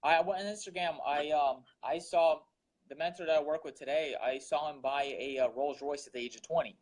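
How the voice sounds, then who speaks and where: A man's voice: a little high, fairly quick, slight background noise, rough recording. A young man from Russia, a guest entrepreneur, talking earnestly about his daily grind, repeating words and using many fillers. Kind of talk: casual interview talk.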